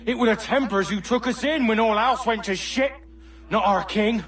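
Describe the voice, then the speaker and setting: An actor playing a bitter pirate loyal to the Templars, taunting in rough, sneering tones. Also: male voice, high voice, normal speed, fairly bright tone, distant mic. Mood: defiant. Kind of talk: video game cutscene acting.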